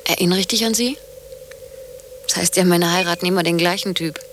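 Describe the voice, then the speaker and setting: An actress playing a blunt, sceptical, unsentimental lover in radio drama dialogue, probing in a matter-of-fact way. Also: female voice, on the low side, steady tone, fairly quick, fairly bright tone, soft background music, natural voice.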